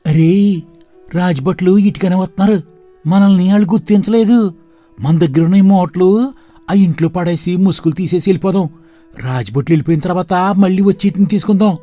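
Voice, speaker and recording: A woman's voice: fairly low pitch, fairly quick, dark tone, faint music behind, natural voice. A woman voicing a sly male thief who schemes and fawns to get away from the guards. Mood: scheming.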